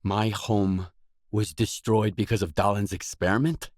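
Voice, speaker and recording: Man playing a low-voiced, blunt game hero, dry sound. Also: steady tone, a little slow, a little mellow, dry recording, close to an everyday voice. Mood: probing, wary. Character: gritty male stalker protagonist, wary, blunt, world-weary adult. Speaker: video game protagonist. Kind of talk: acted video game dialogue lines.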